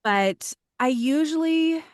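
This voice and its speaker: A woman's voice: high voice, lively intonation, slow pace, no room sound, studio quality. A woman voiceover actress, a podcast guest, talking through her craft in casual interview chat, thoughtful, with many ums.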